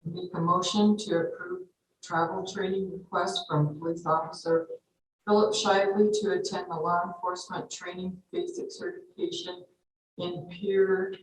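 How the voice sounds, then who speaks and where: A woman council member reads a motion aloud in a measured, formal manner. Her voice sits low for a woman. She is heard from a distance in an echoing auditorium with a faint hiss. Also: little pitch movement. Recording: echoey hall from far off, faint noise.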